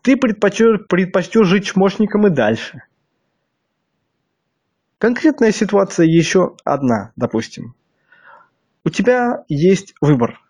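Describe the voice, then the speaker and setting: A man's voice: high-pitched, very animated, a little slow, pauses often, a little mellow. A man with a slightly stuffy nose delivering a blunt, crude monologue to his viewers, hammering them with rhetorical questions. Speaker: video blogger.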